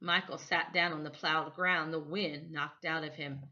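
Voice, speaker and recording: A woman reading a children's story expressively, with dramatic, deliberate phrasing, on a slightly dull recording. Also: deep voice, steady tone, pushed and bright. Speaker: storytime reader.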